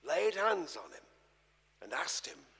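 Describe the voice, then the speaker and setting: Slow, husky male scripture narrator in echoing hall. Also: on the high side. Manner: deliberate, expressive storytelling delivery. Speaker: actor reading the narrator of a gospel. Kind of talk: dramatic scripture reading. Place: large church, live performance before an audience. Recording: long echoey church reverb.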